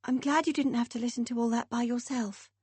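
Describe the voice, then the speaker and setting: Woman voicing a caring teen girl, raised higher, soft and earnest. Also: steady tone, fairly quick, breathy edge, dry recording. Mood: gentle. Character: teenage British girl, gentle and caring.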